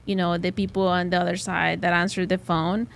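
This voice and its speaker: A woman's voice: fairly low pitch, little pitch movement, fairly quick, creaky. Spanish-accented woman chatting loosely. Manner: rambling, many fillers like "you know". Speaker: realtor. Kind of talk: casual podcast chat.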